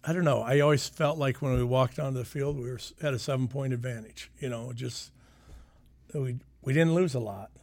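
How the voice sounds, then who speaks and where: A man's voice: mid-range voice, a little fast, creaky, darkish tone, dry recording. A retired sheriff in his sixties from Sioux City, chatting easily about old times with lots of fillers. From Sioux City, Iowa. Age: 60s. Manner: reminiscing, easygoing, trails off with fillers. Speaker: retired county sheriff, guest. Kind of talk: casual interview chat.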